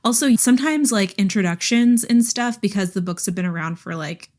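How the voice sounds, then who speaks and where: A woman co-hosting a podcast, chatting casually and informally about books in an animated, giggly way. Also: medium pitch, fairly quick. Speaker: podcast co-host. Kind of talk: casual book chat.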